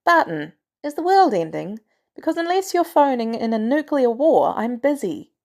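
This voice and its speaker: A woman's voice: fairly high pitch, big pitch swings, moderate pace, darkish tone, pitched above the performer's own voice. A woman voicing a brusque, commanding female agency director in an audiobook story, blunt and sardonic with an air of authority. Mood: irritated, brusque.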